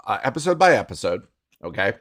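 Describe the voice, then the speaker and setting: Animated man co-hosting a podcast. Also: fairly low pitch, quick pace. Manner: animated, laughs often, talks through restarts. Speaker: podcast co-host. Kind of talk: casual chat.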